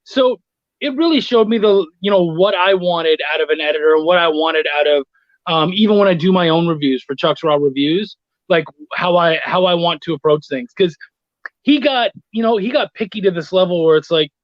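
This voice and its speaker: A man's voice: sits high, normal speed, dry recording. A man chatting casually as an interview guest, telling anecdotes and voicing the exchanges in them, on muffled lo-fi call audio. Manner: anecdotal, acting out quoted exchanges. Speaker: guest, freelance comics writer and editor.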